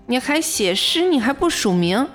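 A woman's voice: high-pitched, big pitch swings, normal speed, fairly bright tone. An actress playing a modest young female office worker in an audio drama, in first-person narration and dialogue. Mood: flustered, exasperated. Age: young adult. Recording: music bed behind the voice.

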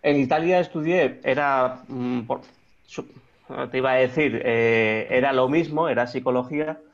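A man's voice: mid-range voice, moderate pace, highs cut off. A man in his thirties with an Extremadura Spanish accent, a guest talking in an interview, hesitant, with many restarts and fillers. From Extremadura, Spain. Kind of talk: remote interview conversation.

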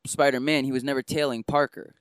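A man co-hosting a podcast, chatting casually and riffing on things in an animated way. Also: mid-range voice, fairly quick, clear, resonant tone, no room sound, clean studio sound. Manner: animated riffing, mock-quotes characters. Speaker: podcast co-host. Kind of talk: casual chat, cartoon recap.

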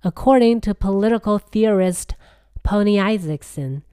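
A woman podcast host with a husky, nasal voice, delivering a scripted comic monologue in a grandiose, deliberate manner. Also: medium pitch, warm tone, dry recording, clean studio sound.

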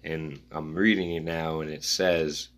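A man musing aloud in a rambling spoken-word style, reading his own notes in halting fragments. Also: a bass voice, steady tone, fairly bright tone.

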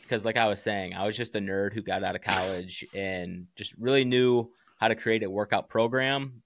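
American guy guesting on a podcast, lowish voice, earnest casual talk, dry close sound. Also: a bit bright, dry recording. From Chicago area, United States. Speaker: podcast guest, small business founder. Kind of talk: casual interview chat.

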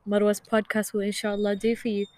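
A woman's voice: medium pitch, monotone, fairly quick, a little mellow, no echo. A young woman from Ireland, a podcast guest chatting casually and earnestly about faith, with frequent laughter. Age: young adult. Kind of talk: casual chat.

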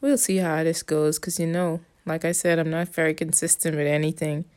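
A woman's voice: low voice, steady tone, brisk, a little mellow. A woman from the Bahamas with a Bahamian accent, rambling in a casual monologue, blunt and profane, with an exasperated edge. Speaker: vlogger.

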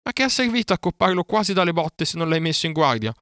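A man's voice: fairly high pitch, very animated, fast, a bit bright, smooth, no echo, clean studio sound, voice pushed up. Man as a furious, raised-voice scolding panther. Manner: roaring, scornful reproach.

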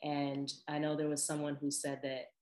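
A young woman with a deep voice, chatting casually and telling personal stories to her viewers, with frequent laughs and lively asides. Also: moderate pace, reverberant. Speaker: vlogger. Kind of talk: casual personal storytelling to camera.

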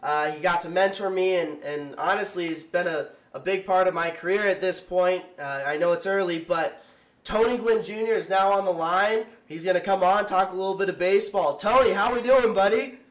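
A man's voice: high-pitched, a little fast. A young man hosting a podcast in a casual style, sounding nasally and congested with a mild cold. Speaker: podcast host. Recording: roomy echo, music underneath.

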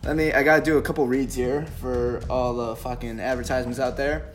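A man hosting a joking podcast, loud and swaggering with a lot of swearing. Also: medium pitch, flat delivery, a little fast. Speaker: podcast host.

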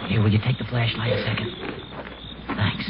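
A man's voice: on the low side, little pitch movement, normal speed, noisy background, lightly acted. Man acting a stammering, nervous American radio husband. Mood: nervous, bluffing. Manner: hesitant, stammering. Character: timid, bumbling suburban husband and father hiding his fear. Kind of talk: old-time radio comedy acting. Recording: muffled old radio recording with music.

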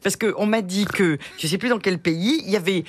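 Husky, animated woman on a radio panel. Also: medium pitch, brisk. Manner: animated, enthusiastic storyteller. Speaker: panel guest. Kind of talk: chatty panel storytelling. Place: radio studio.

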